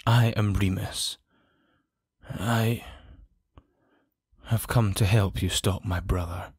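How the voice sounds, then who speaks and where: A man's voice: lowish voice, steady tone, slow, husky, no room sound, studio quality, heavily acted. A man playing a grave, injured nobleman in an audio drama, speaking formally and solemnly. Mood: pained, weak.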